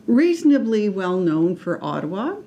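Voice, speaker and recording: A woman's voice: mid-range voice, sing-song, moderate pace, warm tone, muffled recording, slight background noise. A middle-aged woman from Canada, a guest artist in a casual interview, talking hesitantly with many restarts and fillers. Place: radio studio.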